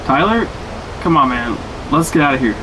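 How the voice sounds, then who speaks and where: A man's voice: on the high side, lively intonation, normal speed, natural voice. Guy acting jittery young man, audio drama, big echoey space, noise behind him. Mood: alarmed. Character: nervous young man urging his friend to leave. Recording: roomy reverb, noisy rainy ambience.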